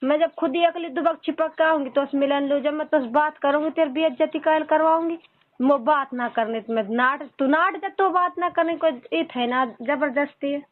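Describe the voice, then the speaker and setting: Woman w mewati accent, pretty high voice, chatting rustic and casual, thin phone audio. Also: normal speed. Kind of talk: casual private phone chat. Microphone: phone call recording. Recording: tinny narrow phone line.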